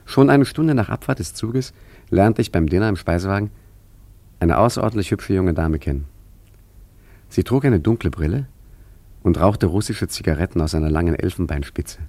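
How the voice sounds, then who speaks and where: Radio drama lead: an ironic, philosophical charmer and art forger, low voice, musing and leisurely. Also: male voice, expressive, moderate pace, a little mellow, no room sound. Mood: wry storytelling. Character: wry, roguish male art forger and painter, philosophical charmer. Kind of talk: German radio play acting and narration.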